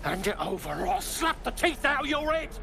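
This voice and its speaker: High-pitched, menacing bully. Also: male voice, very animated, brisk, vocal fry, bright, forceful tone, faint music behind, heavily acted. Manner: menacing, hectoring. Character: angry, threatening, bullying male villager.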